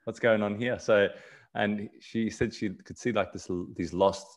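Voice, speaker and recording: Low-voiced man, rambling. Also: fairly even intonation, a little slow. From South Africa, raised in Australia. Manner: rambling, repeats words and restarts often. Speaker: podcast guest. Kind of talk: casual conversation on a video call. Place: small room at home. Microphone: video call webcam.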